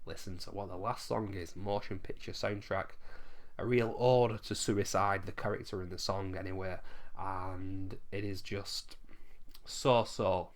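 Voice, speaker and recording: A man with a hoarse, fading voice, chatting enthusiastically through a countdown of his favourite albums and swearing casually now and then. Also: low voice, little pitch movement, a little slow. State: losing his voice, coughing. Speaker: music vlogger ranking albums.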